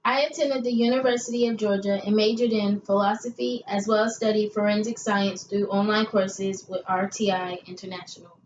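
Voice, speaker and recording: A woman's voice: mid-range voice, steady tone, a little fast, few pauses, natural voice. A young woman playing an earnest investigator guest in a scripted amateur interview. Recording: echoey, muffled room with hiss.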